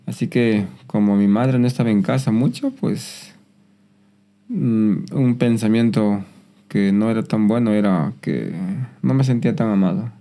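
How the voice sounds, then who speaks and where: A soft-spoken man shares his personal testimony. His voice is lowish and mellow, and he speaks reflectively, sometimes pausing and restarting a phrase. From Peru. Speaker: guest.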